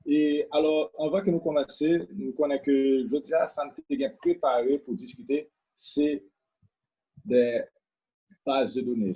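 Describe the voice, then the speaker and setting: A man hosting a live webinar, introducing the guest in a halting way and slipping into English phrases. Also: mid-range voice, mellow, slightly rough, low-quality recording. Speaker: host.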